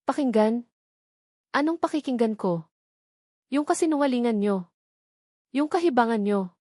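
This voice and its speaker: A woman's voice: on the high side, expressive, moderate pace, mellow, no echo, clean studio sound, voice pushed up. A woman voicing a furious middle-aged mother who scolds with indignant, accusing questions, in an audio drama.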